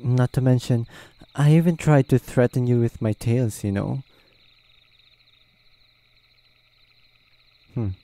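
A man voicing a proud, wary, easily flustered male nine-tailed fox spirit in a halting roleplay audio drama. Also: mid-range voice, warm tone, no echo, lightly acted. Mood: doubtful. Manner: hesitant, halting, muttering to himself.

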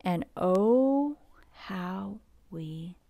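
A woman reading a bedtime picture book aloud in a gentle, expressive, unhurried storybook manner. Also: medium pitch, gravelly, no room sound, highs cut off.

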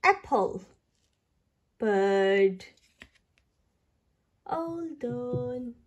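A woman's voice: on the high side, lively intonation. A woman reading aloud for toddlers, saying words carefully in a sing-song, child-directed way. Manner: slow, careful labelling of single words for small children. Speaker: children's book reader and channel host.

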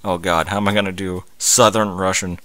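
A man's voice: deep voice, flat delivery, a little fast, fairly bright tone, no room sound. A man reading a story aloud in a dramatic narration, breaking off for mocking, incredulous asides. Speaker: fan fiction reader.

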